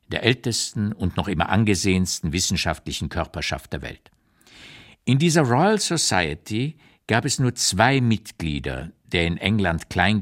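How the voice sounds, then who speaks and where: Deep-voiced elderly memoirist, expressively measured. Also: male voice. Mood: admiring, measured. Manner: measured, emphatic, carefully articulated with rhetorical pauses. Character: 85-year-old writer looking back on his exile years, reflective and candid. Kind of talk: literary reading in a radio play, scripted memoir narration.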